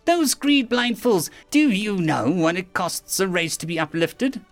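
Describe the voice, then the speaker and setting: A man's voice: high-pitched, animated, normal speed, soft background music, voice pushed up. A man voicing a proud, menacing alien captain in an audiobook, formal and sneering, bitter and grandiose. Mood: furious. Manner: formal, sneering, theatrical delivery.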